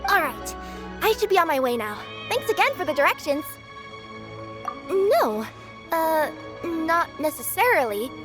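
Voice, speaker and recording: A woman's voice: very high-pitched, very animated, unhurried, heavily acted. A woman voicing an eager, polite young cat-spirit courier with a perky manner in a video game. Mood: cheerful. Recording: music playing behind the voice.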